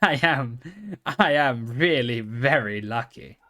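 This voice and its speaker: A man's voice: medium pitch, slow pace, sharp tone. A man voicing a polite, hopeful little brown pony in a storybook, speaking with earnest, formal courtesy. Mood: pleased, excited.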